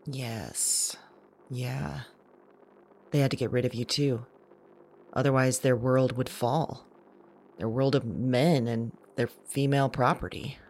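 A woman playing a wry, unsettled woman who records her night thoughts in an intimate audio drama monologue, slow and deliberate. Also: rumbling low, steady tone, a little mellow, a little hiss or hum, full character voice. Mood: knowing, bitter. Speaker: audio drama writer and performer. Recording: music bed behind voice.

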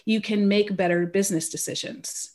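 A woman's voice: mid-range voice, fairly even intonation, normal speed, a little mellow. A woman from California with an American accent, a guest in a casual interview, talking about pricing in a chatty way and often breaking into laughter.

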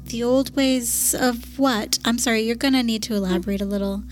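A woman's voice: on the high side, brisk. Inquisitive woman tabletop player. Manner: polite, inquisitive, shifts between narration and in-character speech. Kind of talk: tabletop roleplay, playing her character.

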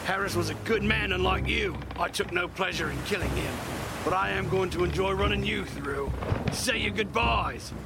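A man's voice: sits high, moderate pace, gravelly, heavy background noise. An actor playing a vengeful, menacing swordsman in a seafaring audio drama, with emphatic delivery.

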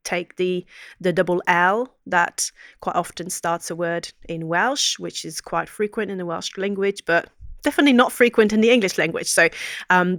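A woman with a fairly low voice talks through her research study on a podcast. She speaks casually and thoughtfully, with plenty of fillers and restarted sentences. The recording is dry and close, with no room sound. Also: fairly bright tone, no room sound. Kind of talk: casual explanatory chat.

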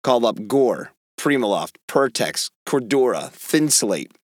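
A middle-aged man talks through a cold, his voice raspy and hoarse as he delivers opinionated podcast commentary. His pitch swings widely with emphatic, wry stresses on key words. The sound is dry and close, with no room around it. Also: big pitch swings, measured pace, no room sound. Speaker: podcast host.

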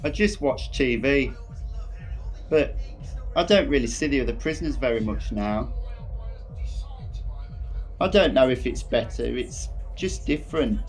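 A man's voice: medium pitch, expressive, measured pace, pauses often, faint music behind. Male actor as a young remand prisoner, anxious, plain-spoken. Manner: plain, simple, hesitant phrasing. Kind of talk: audio drama monologue.

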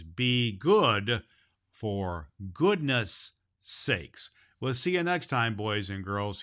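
A man's voice: lowish voice, sharp tone, heavily acted. A man playing a jolly, kindly Santa Claus who hosts a children's storytelling show in a cheery, folksy manner. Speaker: host and storyteller. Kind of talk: children's storytelling show, addressing boys and girls.

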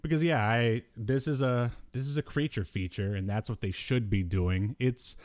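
A man hosting a podcast, chatting casually about old cartoons in a halting, hesitant way. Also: medium pitch, darkish tone. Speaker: podcast host.

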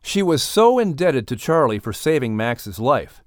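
Male narrator, children's story reading, animated delivery, dry close recording, scripted. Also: mid-range voice, a little slow, dry recording. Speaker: narrator and announcer.

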